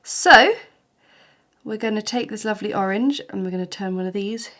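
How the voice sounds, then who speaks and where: A woman, a little snuffly with hay fever, talking through a watercolour painting tutorial in a gentle, chatty, encouraging way. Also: medium pitch, normal speed. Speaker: watercolour art teacher.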